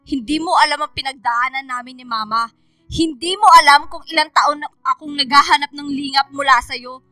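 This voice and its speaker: An actress playing a bitter, hurt young daughter in a radio drama, with a Filipino accent and a confrontational manner. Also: female voice, high voice, a little fast, bright, forceful tone, no room sound, soft background music. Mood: angry, defiant. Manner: confrontational, reproachful.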